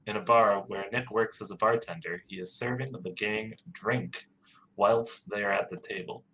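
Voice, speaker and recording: A man's voice: lowish voice, moderate pace, pushed and bright, slight background noise, poor audio. A man who hosts a podcast, reading stage directions aloud in a plain, matter-of-fact way.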